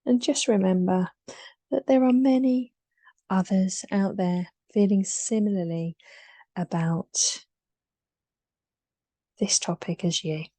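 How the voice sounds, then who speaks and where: A woman guides a meditation in a mellow, relaxed voice. She speaks extremely slowly, in short soothing phrases that trail softly into breath, with long pauses between them. The sound is dry, with very little room around her. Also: mid-range voice, no echo.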